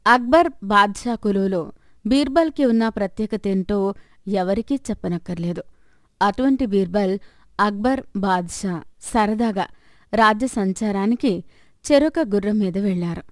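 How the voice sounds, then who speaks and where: A woman storyteller narrates a scripted moral tale. Her voice sits at a middle pitch, and the recording is very dry, with no room sound. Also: normal speed, no echo.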